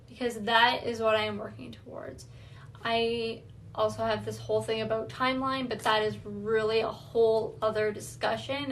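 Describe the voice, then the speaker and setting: A woman's voice: fairly high pitch, a bit bright. A woman giving an earnest, reflective self-awareness talk, thinking aloud as she goes. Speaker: wellness vlogger.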